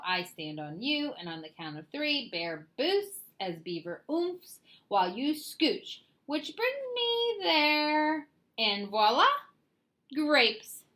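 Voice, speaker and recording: Woman voicing a vain, animated sly fox. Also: fairly high pitch, slow, voice pushed up, exaggerated voice. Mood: showy, confident. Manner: pompous, showy, cutting others off, condescending. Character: sly, vain, bossy male fox schemer. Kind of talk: animated storybook read-aloud.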